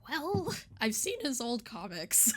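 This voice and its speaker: A woman chatting casually as an interviewed artist, agreeable and quick to affirm what is said. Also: a little high, sing-song, fairly bright tone, slightly rough. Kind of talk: casual chat.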